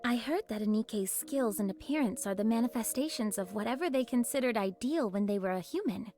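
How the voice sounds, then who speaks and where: A woman's voice: fairly high pitch, expressive, a little fast, mellow, faint music behind. An actress playing a spirited, determined female squad leader in an anime game, earnest and slightly formal. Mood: cheerful, curious. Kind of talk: scripted anime game voice acting.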